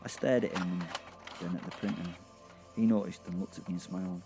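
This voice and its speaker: A man's voice: low voice, fairly even intonation, fairly quick, mellow, faint music behind. A man narrating a comic horror audio drama in the first person, with a wry, conversational manner.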